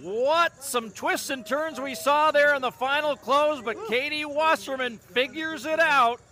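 A male race commentator calls the action live in a very high voice. The tone is bright and forceful, and it sounds like a clip from an outdoor race broadcast. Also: a little slow.

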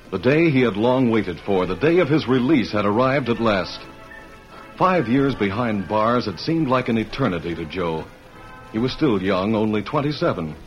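A man narrating an old-time radio western in a theatrical, measured, scripted announcer style. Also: fairly low pitch, muffled recording. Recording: band-limited vintage radio broadcast. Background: music.

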